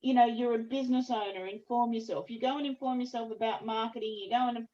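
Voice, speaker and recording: A woman in her fifties, a lawyer, summing up a panel briskly and directly from her home office on a video call. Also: a little high, steady tone, warm tone, muffled recording. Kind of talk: online panel summary.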